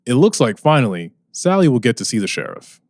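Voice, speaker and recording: Guy as dramatic radio serial narrator, storytelling, very expressive, dry studio sound, bit wry. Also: mid-range voice, dry recording. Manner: theatrical, deliberate, storytelling.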